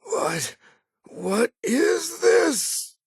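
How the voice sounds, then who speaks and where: An actor voicing a tormented, paranoid figure in a video game, raving in broken fragments with a raspy, creaky voice. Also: very high voice, very animated, drawn-out words, heavily acted. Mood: frantic, anguished. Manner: broken, muttered fragments bursting into cries. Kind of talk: video game character dialogue.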